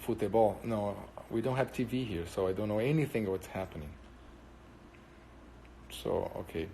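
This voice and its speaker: A Brazilian man speaks English with a Brazilian Portuguese accent, Portuguese being his first language. He chats loosely through a live stream, answering viewers as thoughts come to him. He rambles and pauses often, filling gaps with plenty of hesitations. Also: fairly low pitch, gravelly, warm tone, slight background noise, low-quality recording. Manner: rambling, hesitant with many fillers. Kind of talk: casual live-stream chat.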